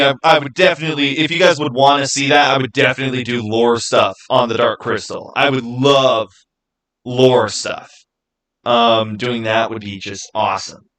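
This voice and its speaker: A man with a mid-pitched voice hosts a livestream. He talks in a casual, conversational way, wandering through his thoughts as he responds to people in the chat. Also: fairly bright tone. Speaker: livestream host. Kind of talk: casual livestream chat, answering viewers' comments.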